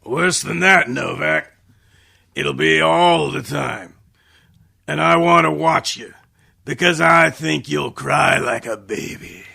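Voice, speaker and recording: An actor playing a menacing, gloating police detective in an old-time radio drama, needling and gravelly. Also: male voice, fairly high pitch, slow pace, pushed and bright, heavily acted.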